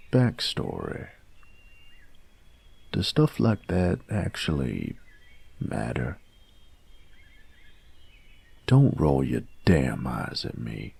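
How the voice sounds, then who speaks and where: A very low, husky voice for a gruff young male bully played by a man in an intimate audio roleplay. He speaks very slowly and haltingly, in casual slang, acting like he doesn't care while secretly curious. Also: a little mellow, full character voice. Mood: gruff, dismissive. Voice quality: gravelly, husky. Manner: slangy, halting, feigned indifference.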